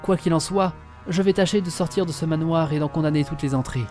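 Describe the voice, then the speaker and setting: Give this actor's solemn old gentleman of the 1920s a mellow, soft-edged voice over faint music. He reads a formal letter of warning as audio drama narration, grave and measured, with literary phrasing. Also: on the high side, lightly acted. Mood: grave, urgent. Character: grave old estate owner reading his 1922 warning letter.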